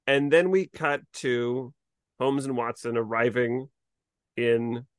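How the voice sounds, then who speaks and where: A man's voice: mid-range voice, fairly even intonation, slow pace, no room sound. Guy chatting on a podcast, mid-range voice, really dry sound, just recapping stuff casually. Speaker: podcast co-host. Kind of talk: casual discussion, recapping TV episodes.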